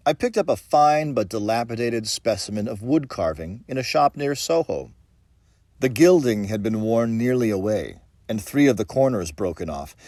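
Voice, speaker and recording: A man reading a ghost story as dramatic narration, with measured, theatrical phrasing and deliberate pauses. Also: medium pitch, a little mellow, no echo, studio quality. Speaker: audiobook reader.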